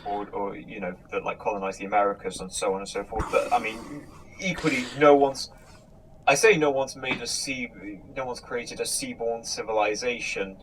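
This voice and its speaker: A man hosting a casual discussion, thinking aloud in a halting, tentative way. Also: lowish voice, a crowd in the background, low-quality recording. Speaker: host reading audience questions. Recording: clear background noise.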